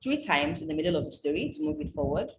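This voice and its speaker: A woman from Nigeria, reading a prepared talk on folktales in a measured, explanatory way. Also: low voice, big pitch swings. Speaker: writer presenting on folktales. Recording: echoey room with background noise.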